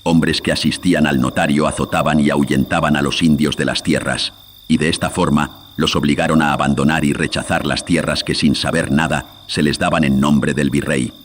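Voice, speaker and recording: Extremely deep solemn male narrator. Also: fairly even intonation, normal speed, slight background noise. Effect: processed with audio filters. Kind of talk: scripted horror legend narration.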